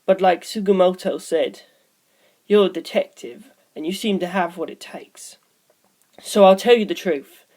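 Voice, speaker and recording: A woman voicing a candid, probing man in an audiobook, with a deliberate, matter-of-fact delivery. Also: on the low side, darkish tone. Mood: grave, puzzled. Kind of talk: audiobook character dialogue.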